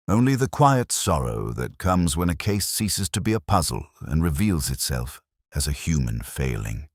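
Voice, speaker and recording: A man reading a scripted first-person detective story in a solemn, measured, literary way. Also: very deep voice, no room sound, studio quality. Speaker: narrator.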